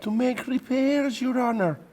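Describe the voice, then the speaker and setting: A man's voice: very high voice, a little slow, darkish tone. An actor playing a disreputable ship's pilot in an audio play, pleading and whining in fear for his life. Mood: panicked pleading.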